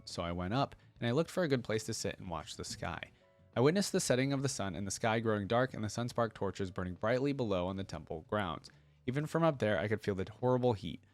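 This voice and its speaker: A man's voice: lowish voice, no room sound. A man reading story lore aloud and reacting to it with informal, invested fan commentary. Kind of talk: dramatic read-aloud with casual fan commentary.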